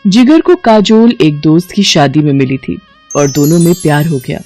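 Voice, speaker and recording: A woman's voice: low voice, expressive, moderate pace, mellow, faint music behind. A woman narrating a scripted story as its storyteller.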